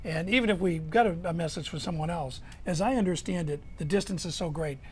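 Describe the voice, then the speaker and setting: A woman's voice: lowish voice, fairly quick, darkish tone, slight background noise. An American woman co-hosting a news show, bantering casually with dry teasing quips.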